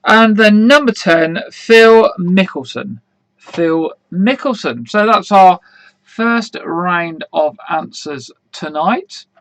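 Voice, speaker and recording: A man hosting an online quiz in a casual, rambling way, full of fillers, with a slightly croaky voice. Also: sits high, lively intonation, takes it slowly, fairly bright tone, dry recording, rough recording. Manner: chatty, rambling, many fillers and restarts. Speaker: quiz host.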